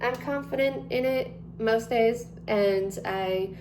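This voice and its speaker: A woman's voice: a little high, slow, a little mellow. A woman in her twenties chatting casually to camera, rambling with many ums and likes. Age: 20s. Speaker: vlogger. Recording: echoey room with noticeable hum.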